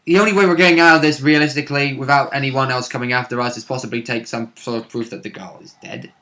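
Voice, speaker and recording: A man's voice: medium pitch, fast talker, bright, forceful tone. A man talking casually as a tabletop game player, in an informal, sweary, colloquial way. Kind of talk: casual chat.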